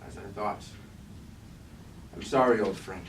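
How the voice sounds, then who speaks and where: Man as war-weary robot king, measured archaic oratory, staged tragedy, echoey distant recording, faint noise. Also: on the low side, natural voice. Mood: resigned. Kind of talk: staged reading of mock-classical verse tragedy. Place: indoor room at a staged reading.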